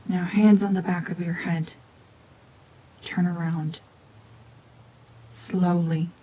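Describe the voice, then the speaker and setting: A woman voicing a cold, mean renegade gorgon witch in a hushed, half-whispered ASMR drama, domineering and sardonic. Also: lowish voice, fairly even intonation, takes it slowly, slightly rough. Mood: cold menace.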